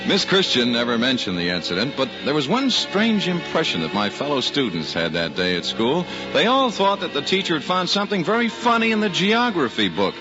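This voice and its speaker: A wry narrator looking back on his boyhood in a vintage drama. His medium-pitched voice is very lively in its rise and fall. Music plays clearly behind a dull recording. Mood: wry, nostalgic. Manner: wry, measured storyteller. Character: grown son wryly recalling his boyhood. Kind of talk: scripted first-person narration, vintage drama.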